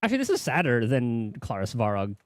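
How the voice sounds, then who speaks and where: A man's voice: medium pitch, huge pitch swings, fairly quick, music in the background. A man giving casual reaction commentary on a game as a streamer, talking into a close mic.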